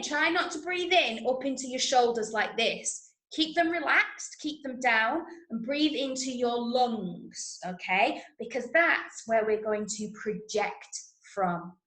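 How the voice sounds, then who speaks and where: A woman with an English accent leading an online drama workshop for children, giving clear instructions and often checking in. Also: fairly high pitch, a bit bright. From Manchester, England. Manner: clearly instructional, frequent check-ins with okay and right. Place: indoors, online video session, roomy sound. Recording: roomy echo on online call.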